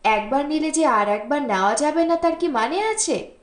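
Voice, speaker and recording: A woman's voice: high voice, lively intonation, moderate pace, voice pushed up. A woman voicing a fussy, imperious older man hosting a party, hasty and insistent, in an audiobook. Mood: insistent urging. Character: fussy, imperious older society host, a friend's father. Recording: roomy, echoing voice recording.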